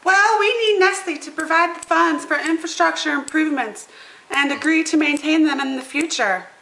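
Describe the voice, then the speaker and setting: A woman's voice: sits high, moderate pace, vocal fry, pushed and bright, exaggerated voice. A woman playing an earnest small-town official, reciting scripted lines in an amateur puppet show. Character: earnest female small-town official seeking economic revival. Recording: roomy, echoing room sound.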